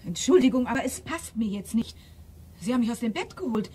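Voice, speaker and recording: A woman's voice: a little high, lively intonation, brisk, warm tone, slight background noise, close to an everyday voice. An actress playing an evasive, indignant young married woman under suspicion in a German radio crime drama, flustered and haughty.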